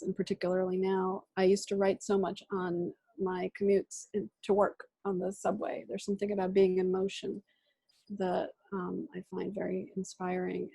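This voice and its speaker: Husky-voiced woman, Russian native speaker. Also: medium pitch, warm tone, no echo, rough recording. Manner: thoughtful, pausing often with "um". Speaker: poet. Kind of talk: reflective answers in an online reading Q&A. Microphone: video call.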